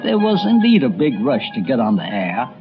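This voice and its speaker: A man's voice: mid-range voice, wildly swooping pitch, moderate pace, over music. A man narrating a scripted history documentary like a storyteller, with conversational asides. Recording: dull, lo-fi old recording.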